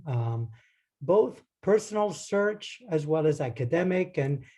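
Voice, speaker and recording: A man from Argentina gives an academic talk over a video call. His voice is warm and mellow, pitched slightly high, and he speaks in a relaxed, hesitant way, often restarting phrases. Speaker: guest lecturer. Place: home or office, speaking into a computer.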